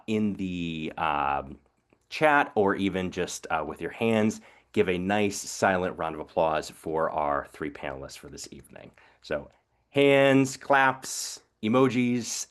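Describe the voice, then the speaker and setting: Deep-voiced male moderator, video call, thoughtful, halting delivery full of "um"s. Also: fairly bright tone. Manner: reflective, hesitant with many fillers and restarts. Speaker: moderator of a webinar panel. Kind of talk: online panel moderation.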